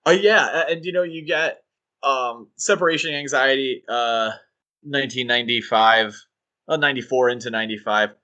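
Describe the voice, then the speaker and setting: A man co-hosting a podcast, talking in a casual, animated, rambling way with fillers and restarts. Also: mid-range voice, moderate pace. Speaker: podcast co-host.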